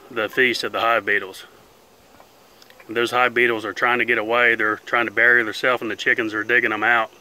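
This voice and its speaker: A man's voice: mid-range voice, bright, forceful tone, poor audio. Folksy man filming his chicken pen. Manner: plain-spoken, unhurried, folksy grammar. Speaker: beekeeper and channel host. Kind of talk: casual narration while filming. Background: faint buzzing of bees outdoors.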